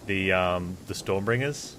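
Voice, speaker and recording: A man's voice: deep voice, measured pace, a bit bright, slight background noise. A man giving casual, offhand gameplay commentary as a streamer, rambling a little over his mic. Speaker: game streamer.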